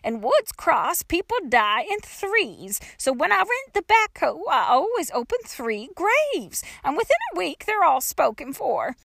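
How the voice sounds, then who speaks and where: Woman voicing a scatterbrained, folksy old gravedigger lady, pitched up. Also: very animated, a little slow, gravelly, hardly any pauses, a bit bright, no echo, studio quality, full character voice. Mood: defensive. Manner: folksy, rambling, ungrammatical rural speech. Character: eccentric, scatterbrained, argumentative woman gravedigger in her sixties.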